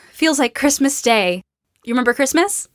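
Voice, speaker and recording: A woman's voice: sits high, animated, measured pace, a little hiss or hum. An actress playing a wry, spirited young female survivor with a casual way of talking, in an audio drama. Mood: wry, hopeful.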